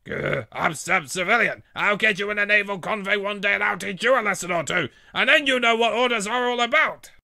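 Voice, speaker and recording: A pompous cartoon naval tugboat, bossy and military. The high voice is gravelly and very forceful, with a dry, close sound. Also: male voice, a little fast, hardly any pauses, no echo, exaggerated voice. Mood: bossy, barking. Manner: pompous, barking military orders. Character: pompous, bossy male navy tugboat officer. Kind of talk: cartoon character voice acting.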